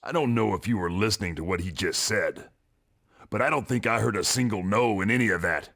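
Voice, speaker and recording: Deep-voiced, blunt wrestler. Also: male voice, a little fast, gravelly, a bit bright. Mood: skeptical, challenging. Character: blunt, wary male wrestler giving hard advice. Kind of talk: video game cutscene acting.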